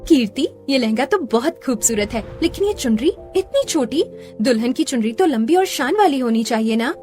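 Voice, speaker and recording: High-voiced, gentle young sister acted by a woman. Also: lightly acted. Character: gentle young Indian sister, humble, earnest, hopeful bride. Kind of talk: Hindi cartoon story dialogue.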